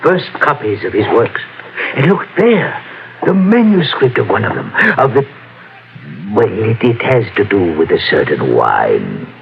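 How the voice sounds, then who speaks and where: A man's voice: on the low side, very animated, measured pace, gravelly, exaggerated voice. A man playing a mad, grandiose collector in his fifties in an old radio melodrama, haughty, intense and menacing. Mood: obsessive, gloating. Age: 50s. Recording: dull, noisy old radio recording.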